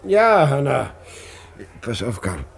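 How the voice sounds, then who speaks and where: A man's voice: medium pitch, sing-song, takes it slowly, gravelly, exaggerated voice. An actor playing an anxious, protective middle-aged father who speaks in short, terse sentences in a German radio play. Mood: urgent, hushed. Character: protective, anxious father hiding his worry from his wife.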